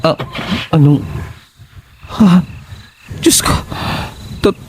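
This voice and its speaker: A man playing a worried, caring young female friend, gentle and hesitant, in an audio horror drama. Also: fairly high pitch, extremely slow, slight background noise.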